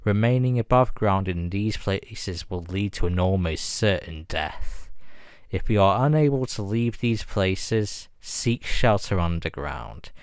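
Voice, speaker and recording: A man reading a scripted emergency broadcast announcement in a formal, measured and grave manner. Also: low-pitched, animated, clean tone, dry recording, clean studio sound.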